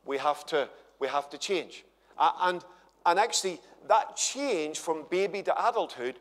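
Guy in his 50s preaching on stage, bit high voice, big echoey church hall. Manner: chatty and humorous, lively storytelling. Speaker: guest conference speaker. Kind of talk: conference sermon to a live audience. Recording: long hall reverb.

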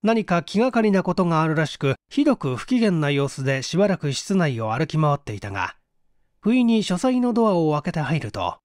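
A man reads a mystery story in a measured storytelling style. His voice sits a little high for a man and moves with a very varied intonation. The sound is dry, with no room around it. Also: sing-song, darkish tone, no room sound. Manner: measured storytelling with acted dialogue. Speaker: audiobook reader. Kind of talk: dramatic narration of a mystery story.

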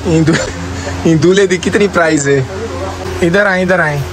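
A young man with a Saraiki accent jokes his way through a street vlog in a slightly high voice. He teases the people around him and laughs a lot. Filmed outdoors in a courtyard on a phone held close. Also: moderate pace, poor audio. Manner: playful, teasing, laughs often. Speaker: vlogger host. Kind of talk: joking street vlog banter.

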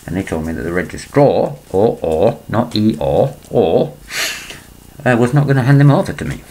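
Gravelly older man rambling to a webcam. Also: on the low side, big pitch swings, normal speed, warm tone, a little hiss or hum. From England. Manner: rambling, digressive, frequent throat clearing. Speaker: vlogger. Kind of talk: rambling conspiracy monologue to webcam. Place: small indoor room. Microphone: laptop webcam.

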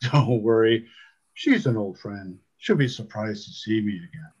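A man voicing a menacing, barely human villain with a deep growling rumble and an oily, taunting manner, in an audiobook-style reading. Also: animated, unhurried, a little mellow, breathy edge. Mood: smug threat.

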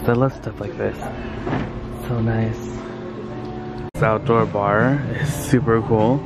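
An enthusiastic man with a lowish voice chats casually as he tours a big indoor store. Loud music plays behind him. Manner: enthusiastic, gushing over items. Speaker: vlog host. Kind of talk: casual store-tour chat. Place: large indoor furniture outlet store.

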